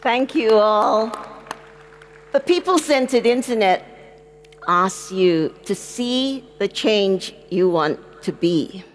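A woman's voice: mid-range voice, expressive, slow pace, a little hiss or hum. An older woman from Singapore speaking as a panelist on a big conference stage, earnest and rousing, asking rhetorical questions into a headset mic.

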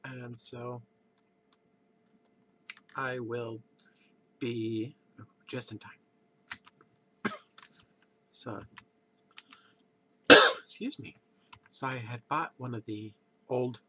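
A man's voice: medium pitch, fairly even intonation, pushed and bright. A man with a congested, stuffy voice, just woken up and casually rambling through gameplay commentary from his computer at home. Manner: rambling, thinks aloud with pauses. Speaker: let's play host. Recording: background noise with keyboard clicks.

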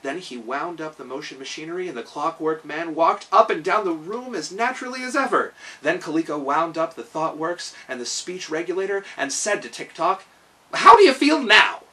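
A man's voice: a little high, a little fast, few pauses, pushed and bright, far from the mic. A man in his thirties reading a children's story aloud with expression. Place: small living room, indoors.

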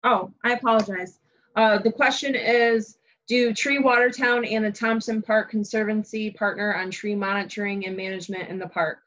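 A woman running a webinar, polite and organized as she passes along questions from the chat. Her pitch stays level. The audio is dull and lo-fi, as on a video call. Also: steady tone, moderate pace, fairly bright tone. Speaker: webinar moderator. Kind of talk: moderating a webinar Q&A.

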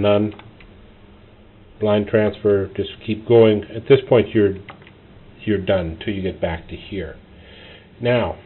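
Guy doing a how-to walkthrough, kinda low voice, choppy short steps, thin phone-quality sound. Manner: halting, short instructional phrases. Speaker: instructor. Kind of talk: step-by-step tutorial narration. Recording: tinny phone-like audio, clear noise.

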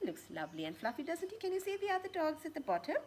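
A woman in her thirties reading a picture book aloud to children in an expressive, questioning way, outdoors. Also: high-pitched, racing, a little hiss or hum. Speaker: children's story reader and teacher. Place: outdoors on a home patio.

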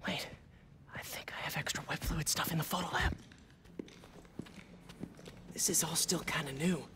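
A man's voice: on the high side, fairly even intonation, moderate pace, in a whisper, natural voice. A man voicing a nervous, earnest teenage schoolboy in a video game, hesitant and muttering to himself. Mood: anxious, hushed. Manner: hesitant, anxious.

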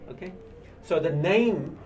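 Older male lecturer, South African accent. Halting, explanatory delivery, recorded at a distance from the mic. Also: fairly high pitch, animated, darkish tone. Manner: halting, repeating words as he explains. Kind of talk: informal history lecture to a small class. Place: small indoor seminar room. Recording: distant mic with light background noise.

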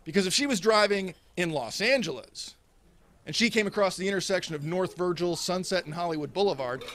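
A man in his thirties doing a deadpan stand-up comedy routine at a stand mic, gesturing as he talks. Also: high voice, a little fast. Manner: deadpan delivery with animated, sweeping hand gestures. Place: indoors, small set in front of a red curtain. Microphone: stand mic in front of him.

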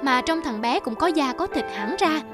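A woman playing a poor, devoted, careworn mother in a cartoon, speaking gently and quietly. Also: sits high, animated, normal speed, over music. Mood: tender. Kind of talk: cartoon voice acting.

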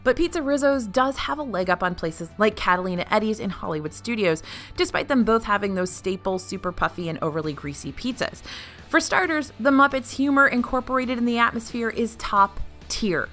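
Lively, bright-voiced woman reviewing food. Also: fairly quick. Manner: animated, wry and opinionated, jumps between high and low pitch. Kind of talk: chatty review narration. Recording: faint music behind.

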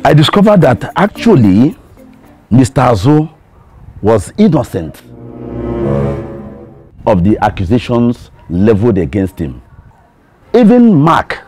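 A man with a Nigerian accent playing a grandiloquent landlord making a formal, deliberate speech in a comic film drama. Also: medium pitch, huge pitch swings, darkish tone, soft background music. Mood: solemn, pompous. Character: grandiloquent male landlord addressing his compound.

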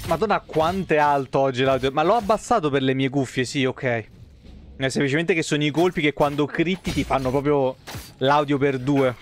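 A man's voice: a little high, brisk, slight background noise. A man giving casual, exclamatory, sweary live commentary on a game. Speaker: live streamer. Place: indoor room, webcam stream. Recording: game sound behind the voice. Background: game effects and ambience.

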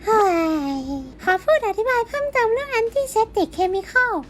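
A woman's voice: very high voice, sing-song, normal speed, pitched above the performer's own voice. A woman voicing a little cartoon sidekick who cuts in abruptly to explain things in an educational aside. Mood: eager explaining.

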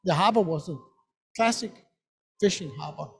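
A man's voice: high-pitched, moderate pace, vocal fry. A middle-aged Danish man with a Danish accent, answering earnestly and emphatically on a conference panel stage, often repeating words. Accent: Danish, from the west coast. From Denmark. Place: conference pavilion stage, indoors.

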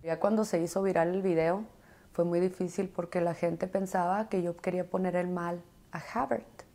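A woman's voice: fairly low pitch, fairly even intonation, normal speed, dark tone. A woman in her thirties giving a personal account in an interview in a living room. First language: Spanish.

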